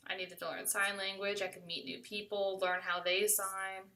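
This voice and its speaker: A woman with an American accent recounts a personal story in a conversational style. Her voice is bright and clearly edged, at an average pitch for a woman. It sounds slightly distant from the microphone. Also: flat delivery, normal speed.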